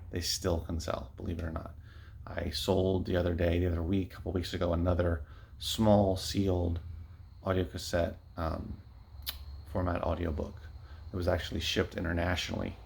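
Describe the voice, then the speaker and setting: A man talking casually as an online reseller showing his sales, in a rambling, low-key, matter-of-fact way. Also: gravelly, a little mellow. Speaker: online book and media reseller sharing recent sales. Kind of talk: casual vlog talk, showing items. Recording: noticeable background noise, clicks.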